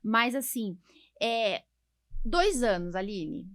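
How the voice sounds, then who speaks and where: A woman's voice: a little high, lively intonation, takes it slowly, fairly bright tone. A Brazilian woman hosting a podcast as a doctor, explaining things colloquially and often checking for agreement. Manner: explanatory, colloquial, frequently seeking agreement with tags. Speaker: podcast host, allergist doctor. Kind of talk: conversational podcast discussion.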